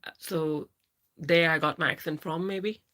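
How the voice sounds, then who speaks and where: A woman's voice: sits low, fairly even intonation, a little slow, a bit bright. A woman in her thirties with a Pakistani English accent, chatting casually on a live stream and answering viewers by name. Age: 30s. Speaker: livestream host. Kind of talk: casual live chat reading viewer comments.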